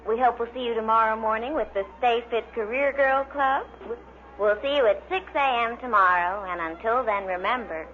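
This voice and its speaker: A woman's voice: fairly high pitch, sharp tone. Woman announcer on dull old radio. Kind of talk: vintage scripted radio announcing.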